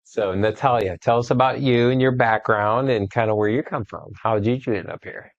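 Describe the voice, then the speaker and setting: A man's voice: mid-range voice, moderate pace, dry recording. A man co-hosting a casual podcast interview, joking and teasing the guests. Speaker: podcast co-host. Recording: dry, slightly dull sound.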